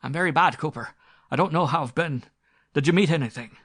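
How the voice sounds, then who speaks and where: A man playing a loud blustering country squire. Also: a little high, fairly quick, breathy edge, voice pushed up. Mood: frightened. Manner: blustering, loud, then frightened and pleading. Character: hot-tempered blustering country squire, middle-aged man.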